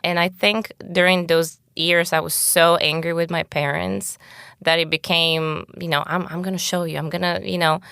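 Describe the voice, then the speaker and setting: A young woman from Bulgaria, Bulgarian her first language, speaks low with a gravelly edge. Her voice stays smooth and full as she tells her story candidly. The recording is close and dry. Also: flat delivery, normal speed, sharp tone, no room sound. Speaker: guest. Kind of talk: casual interview talk.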